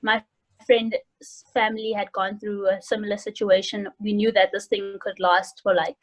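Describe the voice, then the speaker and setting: A woman's voice: mid-range voice, level tone, moderate pace, poor audio. A woman in her early twenties with a South African accent chats casually and hesitantly over a video call, in an informal student way. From South Africa, Johannesburg. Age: early 20s. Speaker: interview guest, university student. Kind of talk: casual chat. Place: home room indoors.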